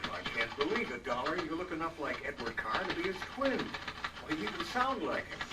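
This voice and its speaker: A man playing a folksy, skeptical police lieutenant who speaks haltingly and deliberately in a live old-time radio drama, a little off the mic. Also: a little high, sing-song, far from the mic, heavy background noise. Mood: surprised, skeptical.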